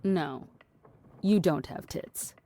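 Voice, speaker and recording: A cynical woman, voiced by an actress in an audio drama. Her voice sits a little low, and she philosophises in a deadpan, wordy, dismissive way. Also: slow pace, no echo. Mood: deadpan lecturing. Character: cynical, blunt, philosophising woman in a trench coat.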